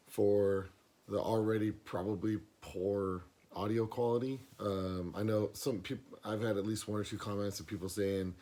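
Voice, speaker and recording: Casting: hoarse man with a lowish voice, easygoing rambler, camera-mic sound. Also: dark tone. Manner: rambling, many fillers and restarts. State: hoarse, losing his voice. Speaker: pipe smoking vlogger. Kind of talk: casual box opening vlog. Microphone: camera mic, no external mic.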